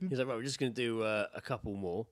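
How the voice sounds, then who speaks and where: A man's voice: on the low side, fast, a crowd in the background. A British man co-hosting a podcast, telling anecdotes in a casual, sweary way and acting out what people said. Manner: sweary, animated storyteller who acts out quotes. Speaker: podcast co-host.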